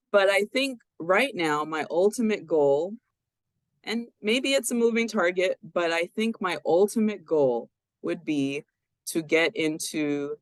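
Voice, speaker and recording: A woman talking as a guest on a show, slowly and in a fairly even, somewhat monotone voice. She hesitates, using fillers and correcting herself as she goes. The sound is dry, with no room echo. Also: on the low side. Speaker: guest speaker. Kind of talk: casual interview talk.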